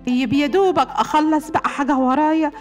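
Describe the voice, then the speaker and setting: A woman's voice: high voice, fairly even intonation, fast talker, soft background music, natural voice. A woman with an Egyptian accent voicing a fearful snake parent in a children's story, sounding anxious. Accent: Egyptian Arabic.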